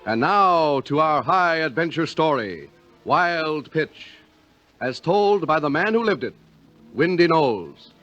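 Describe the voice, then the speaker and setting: A man's voice: fairly high pitch, lively intonation, slow pace, fairly bright tone, soft background music. A man working as a 1950s radio announcer, reading scripted copy in a polished, theatrical, emphatic way. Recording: dull vintage radio recording.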